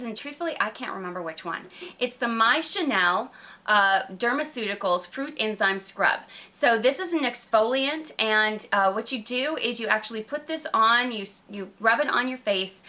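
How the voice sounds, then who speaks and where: Bright, slightly croaky woman chatting about favorites. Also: fairly high pitch. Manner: chatty, emphatic, gushing. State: slightly croaky from recovering from a cold. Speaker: beauty vlogger. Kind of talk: casual product review chat to camera.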